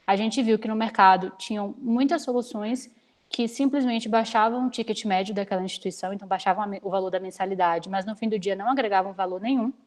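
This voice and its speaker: A young woman from Salvador speaks over a video call, sharing slides with students. Her pitch sits in the ordinary middle range. She talks briskly and practically, with an energetic pull. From Salvador, Bahia, Brazil. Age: 20s. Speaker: startup founder giving a guest talk. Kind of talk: online lecture with slides.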